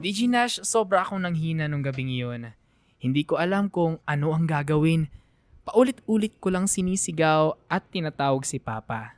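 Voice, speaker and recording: A man's voice: a little high, no room sound. A man giving dramatic, scripted first-person narration for a radio drama in a heartfelt, emotional manner.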